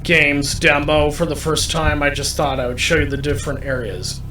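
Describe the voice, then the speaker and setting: A man giving a gameplay walkthrough, deliberately explaining things to viewers and often repeating himself. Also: a little high, fairly even intonation. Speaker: gamer commentating a playthrough. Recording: roomy, distant mic, faint background noise.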